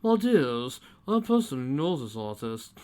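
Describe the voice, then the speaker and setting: A woman's voice: a bass voice, sing-song, normal speed, mellow. A woman putting on a haughty older fashion snob. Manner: haughty, rolls his Rs.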